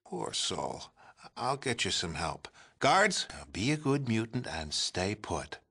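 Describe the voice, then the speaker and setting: A smug male politician for a video game. His voice is bright and forceful, swinging widely in pitch. He talks like a folksy, pompous president, patronizing everyone he addresses. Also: very animated, slow pace, slightly rough, full character voice. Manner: glad-handing, pompous, patronizing. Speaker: president character. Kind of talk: theatrical video game character acting.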